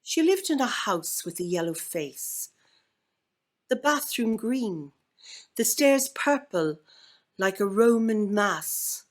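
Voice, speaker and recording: An Irish woman reading a poem as an actor would, with measured, deliberate and theatrical phrasing. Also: medium pitch, very animated. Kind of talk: dramatic poetry reading.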